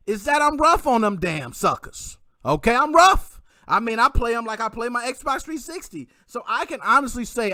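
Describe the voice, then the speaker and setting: A man's voice: extremely high pitch, bright, forceful tone, dry recording, studio quality. A man co-hosting a casual livestream, full of hype slang, laughing often and asking "you know what I mean".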